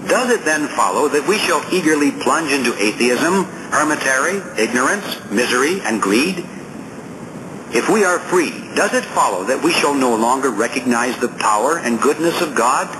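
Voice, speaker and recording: A man reads a political essay aloud as an audiobook narrator, in a deliberate, emphatic, oratorical manner. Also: mid-range voice, animated. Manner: deliberate, emphatic oratorical reading with rhetorical questions and lists. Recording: clearly noisy audiobook recording.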